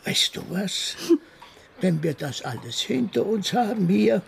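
A man's voice: sits high, big pitch swings, a little slow, gravelly, a little hiss or hum, heavily acted. An actor in a radio drama playing a patient man consoling a loved one in a soothing, pragmatic manner. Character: patient, consoling bereaved man clearing family home. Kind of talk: radio crime drama acting.

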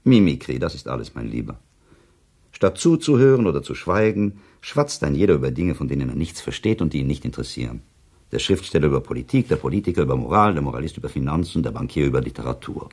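A man's voice: very deep voice, sing-song, fast, a little mellow, slightly rough, full character voice. An actor playing a cynical, impatient male listener who contradicts in a dry, argumentative manner, in a literary radio play. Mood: sardonic, world-weary.

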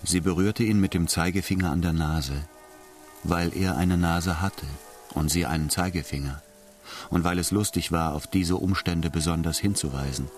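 Deep male radio drama narrator. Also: normal speed. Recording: music behind the voice.

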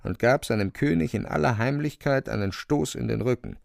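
A man narrating a literary radio play with a creaky voice. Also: mid-range voice, brisk, a little mellow, no room sound, studio quality.

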